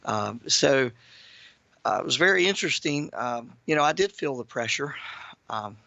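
Hoarse, gravelly-voiced radio guest. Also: male voice, medium pitch, animated, moderate pace, sharp tone, no echo, lo-fi sound. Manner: casual, many fillers. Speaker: guest, ministry founder. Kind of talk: radio interview.

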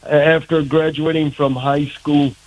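A man's voice: a little high, level tone, slow pace, a little mellow, dull audio, slight background noise. An American man in his sixties or older, from Michigan, giving a personal testimony conversationally as a radio interview guest, plain-spoken and earnest. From Michigan, United States. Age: 60s or older.

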